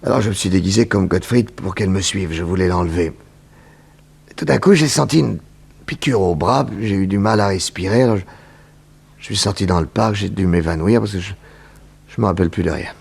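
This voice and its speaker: A man from a sixties radio thriller. His voice is deep and heavily rasping, with a creaky edge, and he speaks haltingly, stumbling back over his words. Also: darkish tone, full character voice. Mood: dazed, halting. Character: man in a 1960s crime serial. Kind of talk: 1960s French radio serial acting.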